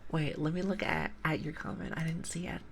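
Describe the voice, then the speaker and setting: Brief: woman ASMR streamer with an accent, low gravelly whisper, teasing, faint background hiss. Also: expressive, brisk. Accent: non-native English. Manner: soft whispering, playful teasing. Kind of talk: ASMR live stream chat. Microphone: sensitive ASMR microphone with headphones.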